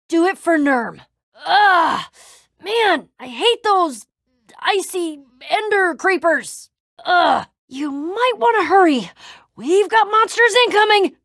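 Video game role: tough, moody young woman warrior. High, very animated, slangy and sarcastic. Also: dry recording, clean studio sound, heavily acted. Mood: urgent, tense. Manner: casual slangy delivery with sighs and sarcastic asides. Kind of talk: video game voice acting, casual dialogue.

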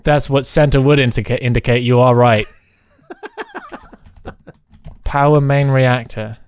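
A man's voice: medium pitch, fairly even intonation, darkish tone, slight background noise. Man thinking aloud during gameplay. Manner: thinks aloud in short, halting phrases. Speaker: gaming video host, player. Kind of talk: casual co-op gameplay commentary.

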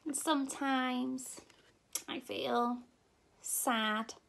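Young female nursery teacher for story time: gentle, expressive delivery to little ones, very unhurried, with noticeable vocal fry. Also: sits high. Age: young adult. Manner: expressive, gently addressing small children. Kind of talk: children's story reading. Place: indoor room at home.

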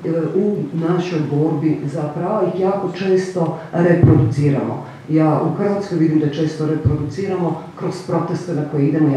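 A woman panelist speaking quickly at a panel discussion, searching for words as she goes. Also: deep voice, warm tone, a little hiss or hum. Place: cinema hall panel table. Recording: echoey hall, distant mic.

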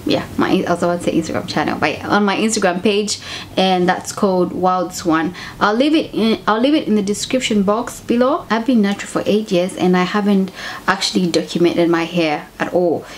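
A woman's voice: mid-range voice, a little hiss or hum. Chatty young woman. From Zambia. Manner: chatty, self-correcting, conversational. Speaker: YouTuber. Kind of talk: casual vlog to camera. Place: home room with bookshelves. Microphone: camera at desk distance.

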